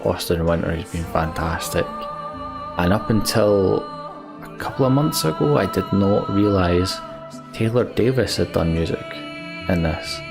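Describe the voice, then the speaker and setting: A man giving casual, reflective game commentary as a let's play host, halting and wistful, often sighing. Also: sits low, lively intonation, vocal fry. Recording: music behind the voice. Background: game soundtrack music.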